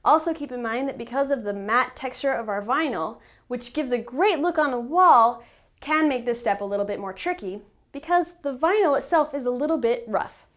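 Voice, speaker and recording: A woman with a slightly high voice narrates a practical how-to tutorial. She speaks as an instructor, patiently guiding the listener step by step and putting emphasis on the important tips. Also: lively intonation, normal speed, a bit bright. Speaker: instructor and company representative in how-to videos.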